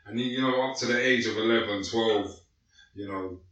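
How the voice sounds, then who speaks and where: A man's voice: lowish voice, steady tone, normal speed, a bit bright, far from the mic, muffled recording. A man in his late thirties with a London accent, telling his life story in loose, slangy casual chat full of fillers. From North West London, England. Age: late 30s. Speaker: guest. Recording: echoey room, away from the mic.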